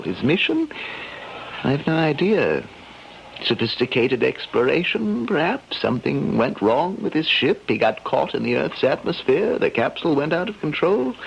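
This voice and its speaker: An earnest male professor in a radio drama. His pitch moves freely with some fry in it, and his phrasing is careful and educated. The recording is muffled with light noise. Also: exaggerated voice. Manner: thoughtful, hesitant, educated phrasing. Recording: noisy, dull old radio recording.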